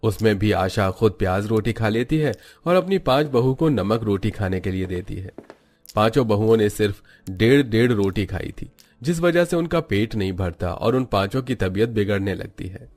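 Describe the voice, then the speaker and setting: A man's voice: lowish voice, animated, normal speed, darkish tone, faint music behind. A man narrating a scripted cartoon story as its storyteller.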